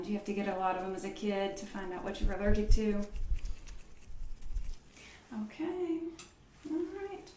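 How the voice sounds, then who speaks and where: A soft-spoken woman doing an ASMR medical roleplay as a doctor, murmuring reassuring step-by-step instructions to a patient. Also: medium pitch, roomy sound, far from the mic, a little hiss or hum, low-quality recording. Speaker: ASMR performer playing an orthopedist. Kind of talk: ASMR medical roleplay, one-on-one personal attention. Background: clicks and handling sounds of props.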